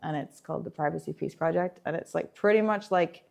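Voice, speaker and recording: A woman from Ireland with an Irish accent tinged with American, speaking as a developer relations engineer in a conference interview, enthusiastic and quick to laugh. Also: fairly low pitch, vocal fry, a little mellow. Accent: Irish with some American. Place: conference venue.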